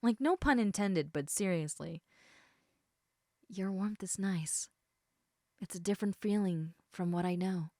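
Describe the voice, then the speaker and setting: A woman playing a gentle, protective, indecisive snake-woman in an intimate audio roleplay, speaking in a soft, hesitant, caring manner. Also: medium pitch, measured pace, pauses often, no room sound, clean studio sound, natural voice. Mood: tender, worried.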